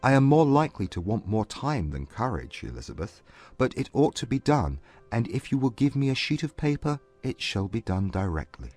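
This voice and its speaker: A man playing a proud, earnest gentleman suitor in a dramatized period romance audiobook, speaking formally and devotedly. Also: medium pitch, sing-song, moderate pace, soft background music. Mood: sincere.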